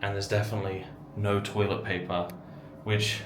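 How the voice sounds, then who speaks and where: A man's voice: fairly low pitch, flat delivery, normal speed. A young man narrating a casual travel vlog with wry, dry, self-deprecating asides, filming on a handheld camera. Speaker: travel vlogger. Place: outdoors on roadsides and streets, then an indoor hotel room. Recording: loud traffic and street noise.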